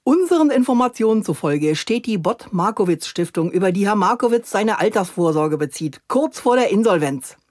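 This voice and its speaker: A woman acting as a statesmanlike female chancellor with a mid-pitched voice. She talks in formal, measured phrases for a satirical radio interview, recorded close and dry. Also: expressive, a little mellow, no echo, lightly acted. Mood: measured, stern.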